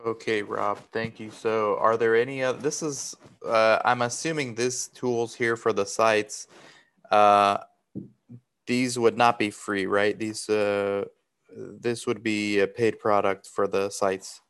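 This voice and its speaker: A man's voice: on the low side, little pitch movement, slow pace, a bit bright, clear, resonant tone. A man from Romania hosting a webinar, asking questions in a casual, friendly way. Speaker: webinar host. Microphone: video call.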